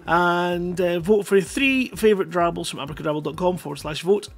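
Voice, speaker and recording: A middle-aged man hosting a live streamed show in a casual, jokey, rambling way, speaking into a headset. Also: high-pitched. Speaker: host of a live streamed writing-competition show. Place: indoors at a computer, live stream.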